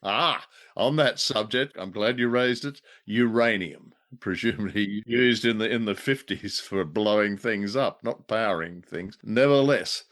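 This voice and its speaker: A man's voice: on the low side, unhurried, a bit bright. A man co-hosting a casual review chat, speaking in a wry, wordy way. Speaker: podcast co-host.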